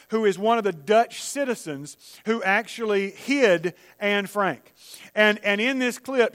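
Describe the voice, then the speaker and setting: A man's voice: high voice, a bit bright. A man preaching a conversational sermon, often restarting his sentences. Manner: conversational, with many restarts and acted-out quotes. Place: church. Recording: long church-hall reverb.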